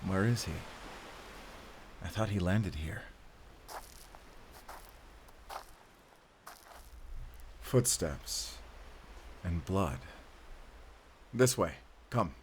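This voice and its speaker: A man's voice: low voice, lively intonation, slow pace, pauses often, a little hiss or hum. A man playing a grim, determined werewolf hunter, speaking intently and commandingly in an audio drama. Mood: grimly determined. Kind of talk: cinematic audio roleplay acting.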